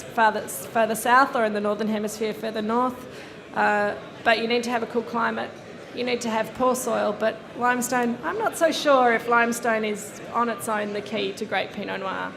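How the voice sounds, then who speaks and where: A woman moderating a wine panel discussion, thoughtful and hesitant, with many fillers and restarts. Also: a little high. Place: seminar room with live audience. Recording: echoey room with audience.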